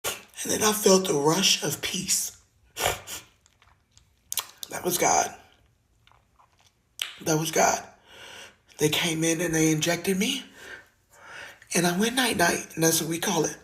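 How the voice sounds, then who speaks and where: Raspy low-voiced woman with a slight lisp, earnest first-person testimony into a phone. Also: slow pace, pauses often. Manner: earnest, heartfelt, plain-spoken. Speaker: singer sharing her story. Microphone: phone video.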